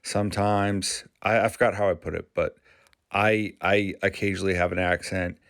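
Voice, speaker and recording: A man from Maine hosting his channel, talking casually in a halting, rambling way with frequent restarts. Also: low voice, fairly even intonation, a bit bright, no echo, clean studio sound. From Maine, USA. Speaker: YouTube channel host. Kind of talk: casual vlog commentary.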